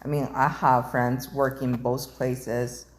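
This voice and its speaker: A woman's voice: very deep voice, level tone, slow, a little hiss or hum. A woman interviewer chatting casually with her guest, asking questions and sharing remarks. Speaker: interviewer, channel host.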